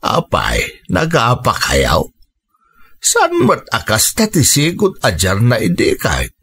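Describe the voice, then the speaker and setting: A man's voice: mid-range voice, huge pitch swings, slow pace, a bit bright, slightly rough, exaggerated voice. A man with an Ilocano accent playing a concerned elderly father questioning his grown daughter in a radio drama, grave in manner. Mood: worried.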